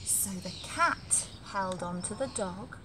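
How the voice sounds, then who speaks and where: A woman reading a story aloud to young children in an animated, deliberate, emphatic way, as a nursery storyteller. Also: mid-range voice, background noise.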